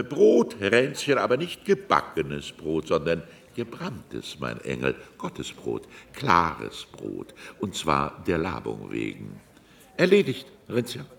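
Grandiose old magnate, wildly swooping delivery. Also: male voice, on the low side, vocal fry, a bit bright, full character voice. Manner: halting, broken-off phrases, pompous. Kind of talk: German radio drama acting.

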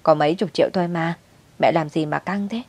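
A woman's voice: low voice, brisk, darkish tone, no room sound. Woman voicing a careless, defiant young mother.

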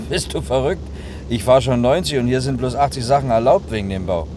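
A man's voice: mid-range voice, fairly quick, natural voice. An actor playing a cautious, dutiful male chauffeur in a German radio drama, talking in a matter-of-fact, colloquial, admonishing way. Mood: earnest, admonishing. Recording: clear vehicle noise behind.

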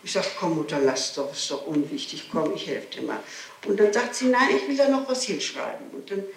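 A woman's voice: low-pitched, animated, a little fast, vocal fry. Woman on an echoey old tape. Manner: insistent, explanatory, often repeating herself. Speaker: suspect being questioned. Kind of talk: spontaneous testimony under interrogation. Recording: echoey, noisy 1960s interrogation tape.

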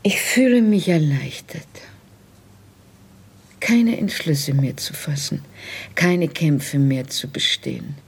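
An actress playing a tender, resigned wife facing arrest in a radio drama, hushed and breathy, speaking solemnly and intimately. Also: female voice, low-pitched, expressive, takes it slowly, pauses often, exaggerated voice. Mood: weary relief. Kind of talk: literary radio drama acting.

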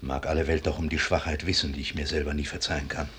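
An actor playing a proud, wounded, bitter army colonel in a 1950s German radio drama, declaiming intensely with a husky, raspy voice. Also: male voice, very deep voice, level tone, quick pace, a bit bright, slight background noise. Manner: declamatory, intense, sinking to harsh whispers.

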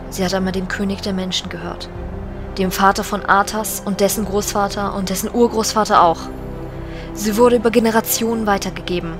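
A woman's voice: mid-range voice, lightly acted. A woman playing a regal, solemn, commanding undead banshee queen in an audio drama, speaking in a stately, formal way. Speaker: voice actor in a parody audio drama.

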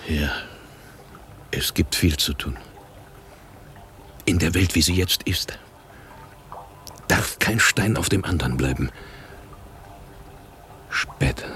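An actor playing a bitter, hardened revolutionary and former prisoner in a German radio drama, grim and emphatic in manner. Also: male voice, very deep voice, takes it slowly, long pauses, a bit bright, exaggerated voice. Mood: terse, guarded.

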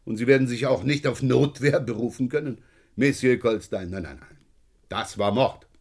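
An actor playing a polite but persistent, dryly sceptical police inspector in a German crime radio play, unhurried and given to hesitant repetitions. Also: male voice, mid-range voice, darkish tone, no room sound, lightly acted. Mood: dry, insistent.

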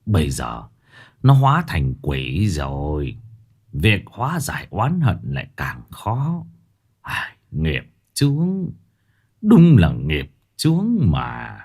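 A stern, weary old fortune teller, his voice very deep and heavy with sighs, as a male narrator puts him on. He draws out every warning slowly, like a spoken horror tale. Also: huge pitch swings, a little mellow, slightly rough, heavily acted. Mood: grave. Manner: slow, heavy, sighing delivery.

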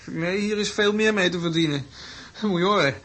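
A young male student schemer in a radio play. His voice is husky and breathy, and he talks playfully, slipping into theatrical grandeur as a would-be professor. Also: high-pitched, normal speed, fairly bright tone. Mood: amused.

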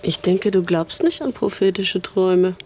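Woman acting blunt nosy friend, lowish voice, teasing and sceptical, radio drama, noisy background. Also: normal speed, darkish tone, close to an everyday voice.

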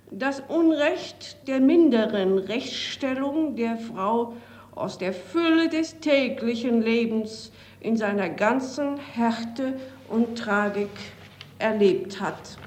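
An actress playing a matter-of-fact woman jurist and mother, giving a 1940s radio address to women listeners. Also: high voice. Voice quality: slow, deliberate, matter-of-fact.

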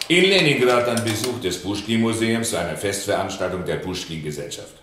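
Pedantic state informer: lowish, lively intonation, report style, roomy noisy recording. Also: male voice, fairly quick. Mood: officious. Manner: bureaucratic, report-like. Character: officious secret-police surveillance reporter, dry and pedantic. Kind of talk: German radio drama acting. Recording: echoey room with mechanical clatter.

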